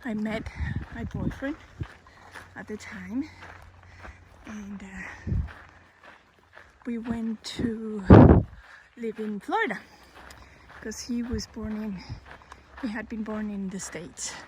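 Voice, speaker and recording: Woman, Colombian Spanish accent, 50s, unhurried, thoughtful pauses, outdoor recording with background noise. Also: medium pitch, steady tone. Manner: slow, thoughtful, with pauses and self-corrections. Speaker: hiker and vlogger. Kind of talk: personal life story, casual. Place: outdoors on a trail.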